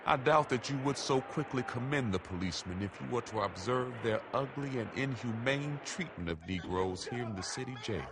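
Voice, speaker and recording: A man giving a measured, grave, oratorical reading of a written letter. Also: mid-range voice, lively intonation, noisy background.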